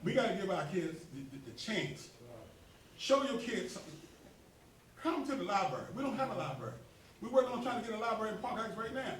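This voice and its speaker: A man's voice: high voice, lively intonation, fast talker, slight background noise. Emphatic man rallying a community meeting. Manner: emphatic, preacher-like, rising to near shouts. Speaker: association president hosting a meeting. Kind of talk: animated speech to a community meeting. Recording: roomy hall, mic from a distance, faint ambience.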